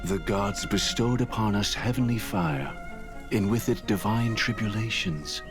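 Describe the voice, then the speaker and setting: An actor playing a grave, fatherly older patriarch in a video game, speaking in a grandiose, philosophical and quietly menacing way. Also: on the low side, close to an everyday voice. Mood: solemn, ominous. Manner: solemn, deliberate, grandiose. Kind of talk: video game voice acting, solemn oratory. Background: background music.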